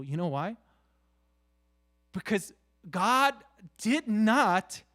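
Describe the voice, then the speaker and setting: Male preacher, slightly high voice, very unhurried, conversational yet emphatic, halting delivery with rhetorical questions. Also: a bit bright. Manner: halting, with repeated "you know" and rhetorical questions. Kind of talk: conversational sermon.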